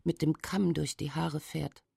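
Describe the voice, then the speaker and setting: A woman with a very low voice, heavy with creak, reads a translated interview as voice-over. The sound is mellow and husky. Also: fairly even intonation, unhurried, dry recording, studio quality.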